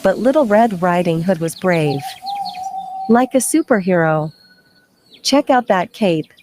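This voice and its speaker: A woman's voice: lowish voice, expressive, measured pace, a little mellow, a little hiss or hum. A woman hosting story time for children, playful and chatty, putting questions to her young listeners.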